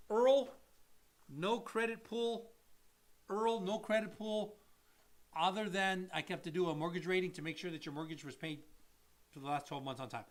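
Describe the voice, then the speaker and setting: A man who works as a mortgage loan officer, co-hosting a casual chat and explaining loans to viewers in an emphatic, blunt way and repeating his key points. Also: fairly high pitch.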